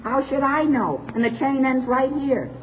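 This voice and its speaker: A man's voice: very high voice, animated, moderate pace. A man playing a whiny, gullible teenage boy with a youthful voice and American accent in old radio comedy. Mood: exasperated. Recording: tinny, faintly noisy old radio recording.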